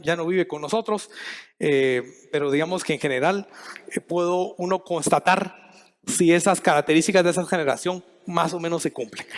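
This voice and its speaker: A middle-aged man from Guatemala giving a conference talk, measured and thinking aloud with frequent restarts and fillers. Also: high voice. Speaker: conference speaker. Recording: long hall reverb.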